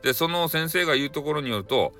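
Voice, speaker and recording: Lively Hakata-dialect man telling a bedtime tale. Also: fairly high pitch, fast talker, faint music behind. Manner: rambling, with frequent fillers and playful asides. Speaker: storyteller. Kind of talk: bedtime folktale narration.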